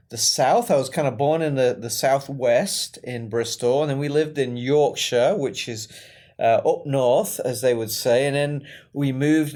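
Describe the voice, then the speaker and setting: A man's voice: mid-range voice, darkish tone. A man from England whose English accent has drifted toward American, co-hosting a podcast in casual, joking chat. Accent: English with an American tinge. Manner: jokey, laughs often, chatty. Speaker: podcast co-host.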